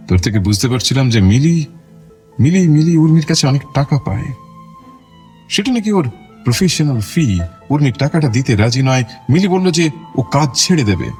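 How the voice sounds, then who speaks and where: Guy acting as proud movie star suspect in audio drama, measured, curt, self assured, music underneath. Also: medium pitch, a little mellow, close to an everyday voice. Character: proud veteran film star, a controlled, irritated murder suspect.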